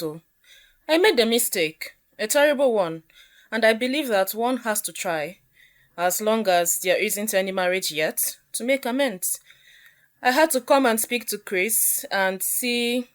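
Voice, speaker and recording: A woman's voice: medium pitch, animated, unhurried, natural voice. A woman playing a defensive, pleading former girlfriend in an audio drama, justifying herself.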